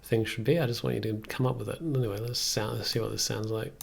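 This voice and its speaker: A man in his thirties casually thinking aloud as a livestreamer, dry and self-deprecating, with a gravelly, husky voice. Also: fairly low pitch, steady tone, fast, heavy background noise. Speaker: live streamer.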